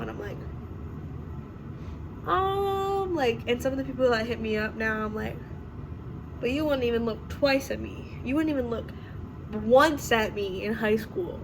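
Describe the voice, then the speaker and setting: A young woman in her twenties talking casually and rambling at home, slightly hoarse from a cold. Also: a little high. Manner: rambling, chatty, self-correcting, laughs often. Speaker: vlogger. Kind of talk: casual chat. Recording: clear background noise.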